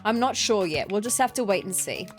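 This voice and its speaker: A woman's voice: mid-range voice, expressive, a little fast, faint music behind. A woman with an Australian accent, sounding nasal from allergies, chatting casually as she plays and builds in a video game. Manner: chatty, upbeat, thinking aloud while building. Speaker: let's play gaming host. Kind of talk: casual gameplay commentary.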